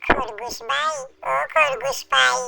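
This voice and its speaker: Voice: very high-pitched, sing-song, takes it slowly, gravelly, a crowd in the background, exaggerated voice. A performer voicing a young orphaned duckling in a cartoon, plaintive and pleading. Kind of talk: cartoon voice acting.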